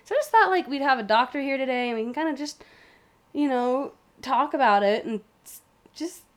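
Woman, nasal voice, casual podcast co-host, fairly high pitch. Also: animated, fairly quick, a bit bright. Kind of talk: casual chat.

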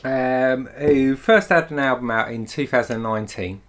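A man's voice: mid-range voice, normal speed, fairly bright tone. A man co-hosting a music show, introducing a band in a dry, hesitant way with many fillers. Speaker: radio show co-host. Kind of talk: casual chat introducing a track.

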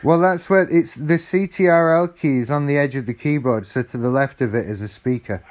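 A man chatting casually on a phone call, acting slow, apologetic and easily confused. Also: fairly high pitch, darkish tone. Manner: feigned slow, apologetic and muddled. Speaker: call recipient stringing a scammer along. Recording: phone call recording with faint noise.

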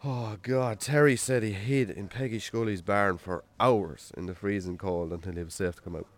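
An actor playing a wry, self-deprecating rural Irish farmer in a radio drama, telling stories colloquially. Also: male voice, on the low side, fairly even intonation, normal speed, close to an everyday voice. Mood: amused, wry. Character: Jamesy, rural bachelor farmer, self-deprecating storyteller on a date. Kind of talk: naturalistic radio drama acting.

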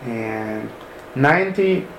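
Gravelly man in an echoey room. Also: mid-range voice, animated, extremely slow, a bit bright. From Sudan. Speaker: interviewee. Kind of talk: casual personal recollection. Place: room in a mosque. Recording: echoey room with ambient noise.

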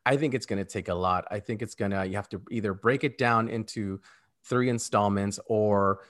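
A man's voice: lowish voice, fairly even intonation, moderate pace, fairly bright tone. A man co-hosting a podcast, talking about films in an informal, conversational way. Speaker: podcast co-host. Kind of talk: casual film discussion.

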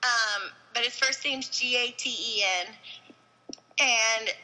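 A young woman in casual conversation as a podcast co-host, chatty and quick to laugh. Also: fairly high pitch, steady tone, bright, forceful tone, poor audio. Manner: giggly, chatty, trails off into laughs. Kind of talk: casual chat.

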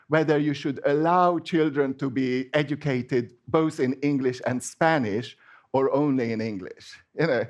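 Hungarian-accented man preaching, higher voice. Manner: chatty, hesitant, many restarts and fillers. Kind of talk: informal sermon to a congregation.

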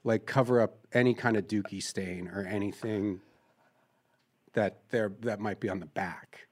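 A man comedian on a prank call, deadpan and teasing, playing a groom booking a dress. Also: on the low side, measured pace.

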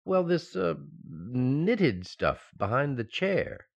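An actor playing an eager, playful young husband in an old-time radio sitcom, boyish and excitable, on a dull recording. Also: male voice, mid-range voice, takes it slowly, gravelly, husky, exaggerated voice. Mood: surprised, excited.

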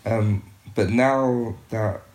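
Low-voiced young man in an echoey room. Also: fairly even intonation, very slow, darkish tone. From United Kingdom. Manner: reflective, frequent restarts and "um"s. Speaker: podcast guest, youth worker. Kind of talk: casual interview conversation.

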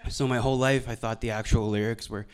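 A young man from Alberta, doing stand-up storytelling on a stage microphone in a deadpan, halting, self-deprecating way. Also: medium pitch, clear, resonant tone. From Alberta, Canada. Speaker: stand-up comedian. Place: live venue stage before an audience.

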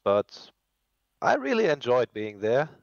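A man in his mid twenties from Germany talks casually as a podcast guest. His voice is medium in pitch, heard through dry, slightly dull lo-fi audio. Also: wildly swooping pitch, unhurried, vocal fry, dry recording. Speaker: podcast guest, hobby train builder. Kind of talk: casual chat.

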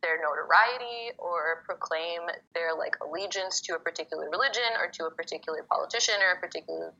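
A young woman from Egypt, a poet guest, talking casually and quickly with lots of "like" fillers. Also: on the low side, gravelly, pushed and bright. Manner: fast, chatty, many fillers like "like". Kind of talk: casual conversation. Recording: muffled phone-like call audio.

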